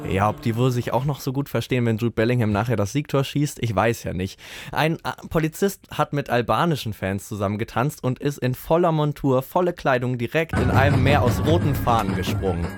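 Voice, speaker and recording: A joking male radio co-host who tells stories with lively banter. His voice has a middling pitch and a dry, close studio sound. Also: a little fast, no room sound, faint music behind.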